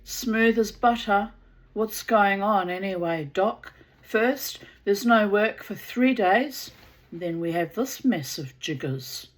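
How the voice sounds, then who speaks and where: A woman's voice: medium pitch, lively intonation, slow pace. A woman with a New Zealand accent reading the lines of a casual, curious male construction labourer in a storybook.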